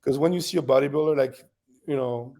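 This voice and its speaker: A man with a French accent recounts his story as an interview guest. His voice is gravelly and mellow, with a steady middle pitch. He talks in an easy, relaxed way and laughs often. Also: a little fast. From France. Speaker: guest bodybuilder.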